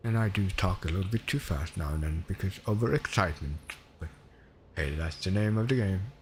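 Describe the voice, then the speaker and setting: A man's voice: deep voice, animated, brisk, a little hiss or hum. A man with a Swedish accent, a Swedish native speaker, chatting casually and rambling as he streams a space game. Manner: chatty, rambling, self-correcting. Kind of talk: casual gameplay commentary.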